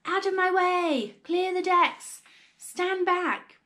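A woman voicing a gigantic ancient hag in a storybook, rowdy and menacing, shouting drawn-out threats. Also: fairly high pitch, animated. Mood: rowdy menace.

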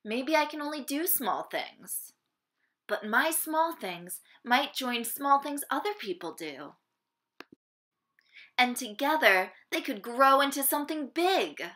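A woman reading a children's picture book aloud in an expressive, deliberate way, phrasing it for young listeners. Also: high-pitched. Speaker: storybook reader.

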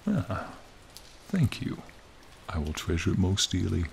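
A man voicing a charming, friendly ancient dragon in a soothing, formal, gently teasing ASMR roleplay. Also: low voice, wildly swooping pitch, measured pace, creaky, background noise, exaggerated voice. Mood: gracious, warm. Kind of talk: ASMR roleplay, slow intimate monologue. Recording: rain and cave ambience behind.

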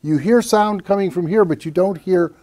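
An older man co-presenting a technical explanation in a measured, didactic way, repeating key phrases. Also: sits high, a little mellow. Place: small acoustically treated home theater room.

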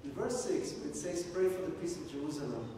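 A middle-aged Israeli man preaching in a high, lively voice, often asking questions of the people listening. His voice rings through a large sanctuary and is picked up from far off, with a long echo behind it. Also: brisk, a little hiss or hum. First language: Hebrew. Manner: animated, paces the stage, asks the congregation questions. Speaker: guest preacher. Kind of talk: sermon. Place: large sanctuary with stage and pulpit. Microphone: room mic from a far camera. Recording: echoey hall from far off.

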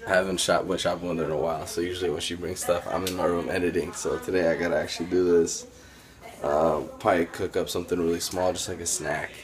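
Young guy with a deep voice rambling into his phone, crowd noise in the background. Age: 20s. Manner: relaxed, chatty, lots of fillers. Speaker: vlogger. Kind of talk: casual daily vlog. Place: car, store and home kitchen. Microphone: handheld phone at arm's length.

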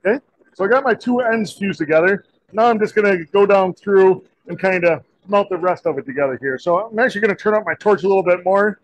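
A man in his forties, a shop teacher, talking through a torch welding demonstration in a relaxed, folksy way in an indoor metal shop. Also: sits high, quick pace, muffled recording. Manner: relaxed, folksy, many "kinda" and "you know" fillers. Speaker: diesel technology teacher.

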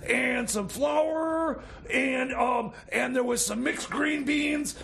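A man's voice: very high-pitched, slow pace, creaky, a bit bright, heavily acted. A man playing a frantic, boozy, scheming mechanic in improvised radio comedy, stammering and repeating words as he rambles. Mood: excited, scheming. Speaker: comedy sidekick on a radio show.

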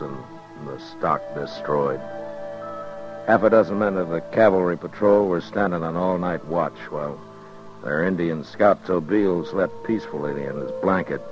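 Casting: very low-pitched steady, matter-of-fact western lawman, old muffled radio sound. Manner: terse, weighty, matter-of-fact. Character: steady, principled frontier US marshal, also narrating. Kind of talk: 1950s radio western acting. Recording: dull 1950s radio recording with music.

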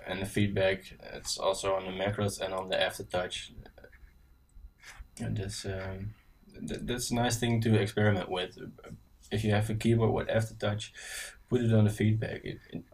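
Dutch-accented man, low voice, halting casual tutorial voice-over, set back from the mic. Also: distant mic, poor audio. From Netherlands. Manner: casual, halting, many ums and restarts. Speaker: synth tutorial presenter. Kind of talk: screen-recorded software tutorial. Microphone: voice-over on screen recording.

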